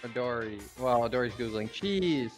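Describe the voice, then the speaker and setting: A woman with a very deep voice, bluntly teasing her friends during a game session. It is casual chat, with faint music underneath. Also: animated, moderate pace.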